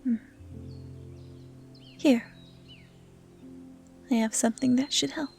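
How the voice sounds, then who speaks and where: Hushed, gentle young dryad woman voiced by an actress. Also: high voice, fairly even intonation, takes it slowly, faint music behind, exaggerated voice. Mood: tender, caring. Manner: hushed, breathy, caring delivery, often near whispering. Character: gentle, curious young female dryad, lonely forest healer. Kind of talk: soft, intimate ASMR audio roleplay.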